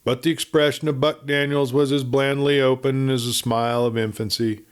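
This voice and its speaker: Expressive male western storyteller. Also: mid-range voice, moderate pace, clean tone. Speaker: audiobook reader. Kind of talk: scripted storytelling narration of a western novel.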